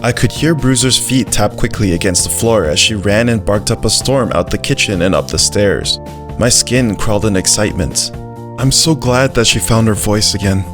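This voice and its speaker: A man's voice: fairly low pitch, moderate pace, natural voice. A man voicing a brave, loyal little dog who narrates his own story in a simple, earnest way. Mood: excited, tense. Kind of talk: first-person scripted story narration. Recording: music behind the voice.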